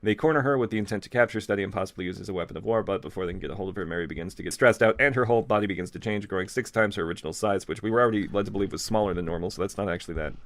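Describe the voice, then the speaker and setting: Fast-talking man with a lowish voice. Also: dry recording. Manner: mocking, ad-libs jokes while reading. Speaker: host reading fan fiction aloud. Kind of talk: comedic read-aloud with casual asides.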